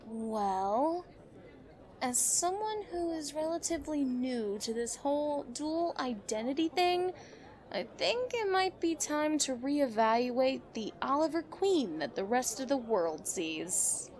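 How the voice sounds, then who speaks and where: A woman's voice: high voice, sing-song, slow, a little mellow, slight background noise, exaggerated voice. A woman playing a sassy, supportive young vigilante partner, frank and teasing, in an audio drama.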